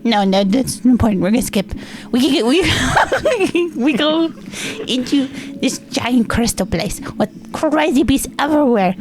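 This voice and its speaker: Woman playing a small, excitable, rambling storyteller. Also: sits high, measured pace, hardly any pauses, voice pushed up. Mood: excited, rambling. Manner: rambling, blunt, easily flustered storytelling in simple words. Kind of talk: improvised in-character tabletop game storytelling. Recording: music playing behind the voice.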